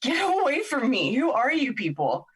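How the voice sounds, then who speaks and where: A woman's voice: sits high, huge pitch swings, normal speed, breathy edge, full character voice. A woman playing a confused, weary young woman in an emotional audio drama, questioning and emotionally exposed. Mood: indignant. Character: Olivia, confused, weary, vulnerable young woman finding courage.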